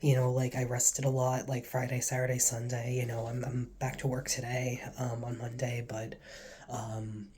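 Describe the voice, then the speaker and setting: A man with a cold and a stuffy nose, telling his faith testimony in a casual, earnest, rambling way, now and then dropping to a soft whisper. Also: medium pitch, completely flat delivery, moderate pace, slightly rough. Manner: earnest, rambling, heavy with fillers. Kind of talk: casual spoken testimony to camera.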